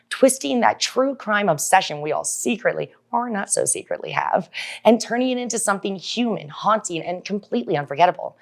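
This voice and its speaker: A woman hosting a talk show, gushing and slangy as she introduces and chats with guests. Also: on the low side. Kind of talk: hyped scripted introduction and chat.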